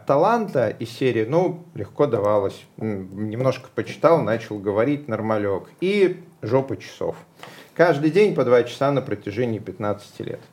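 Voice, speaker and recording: A man's voice: mid-range voice, darkish tone. A man, a podcast host, asking questions in an informal, joking way. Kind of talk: casual conversation.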